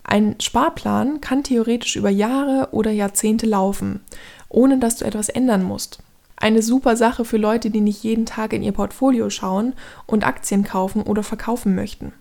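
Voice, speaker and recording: A woman's voice: medium pitch, vocal fry, a little mellow. A woman aged thirty giving scripted explanatory narration as a podcast host, instructing the listener directly in a structured way.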